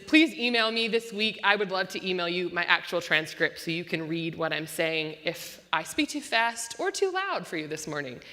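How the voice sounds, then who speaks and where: A woman pastor preaching a sermon with an unusually loud voice, talking fast and slipping in self-deprecating jokes. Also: medium pitch. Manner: self-deprecating joking asides; tends to speak fast. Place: church sanctuary. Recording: long church-hall reverb.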